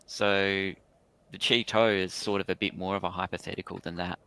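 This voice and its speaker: A man's voice: deep voice, little pitch movement, normal speed, creaky, fairly bright tone, clear, resonant tone, no room sound. A man talking casually as a panelist on a hobby livestream.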